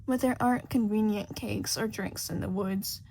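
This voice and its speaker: A woman's voice: mid-range voice, moderate pace, darkish tone, a little hiss or hum. A woman reading scripted fiction as a story narrator. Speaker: podfic narrator.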